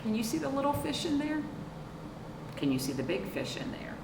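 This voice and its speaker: A middle-aged woman hosting a children's storytime, reading aloud with chatty asides, expressive drawn-out emphasis and questions to young listeners. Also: high voice. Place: small indoor room, seated on a couch. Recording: roomy, distant mic with clear background noise.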